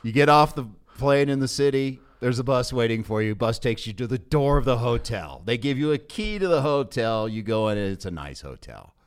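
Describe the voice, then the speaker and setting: An American man hosting a sports podcast in a casual, animated, conversational way. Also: medium pitch, brisk, few pauses, clean tone. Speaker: podcast host, sports broadcaster. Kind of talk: casual podcast interview chat.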